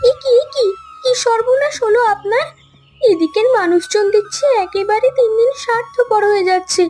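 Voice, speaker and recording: A woman playing a kind, outspoken young city woman in a cartoon, caring and brisk. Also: extremely high pitch, a little mellow, soft background music. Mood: alarmed, indignant. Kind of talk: Bengali cartoon story acting.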